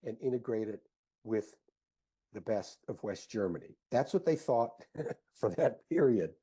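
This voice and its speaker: A male researcher gives conversational interview answers in a husky, mellow voice. He talks slowly and haltingly, repeating himself as he thinks. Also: on the low side, animated.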